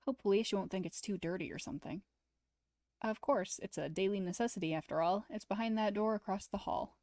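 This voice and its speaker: A woman streamer reading a visual novel aloud as expressive first-person story narration. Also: medium pitch, brisk, no echo, studio quality.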